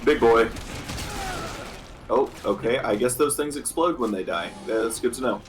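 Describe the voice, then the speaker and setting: A man's voice: medium pitch, unhurried, background noise. A man playing a co-op game with a friend, chatting casually and bickering in a dry, matter-of-fact way. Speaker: co-op gaming partner. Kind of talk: casual co-op gameplay chat.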